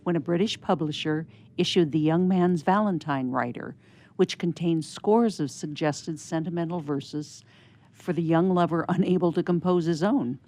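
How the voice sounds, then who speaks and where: Low, creaky-voiced woman reading aloud. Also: mellow. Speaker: radio theatre narrator. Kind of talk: reading prepared history aloud.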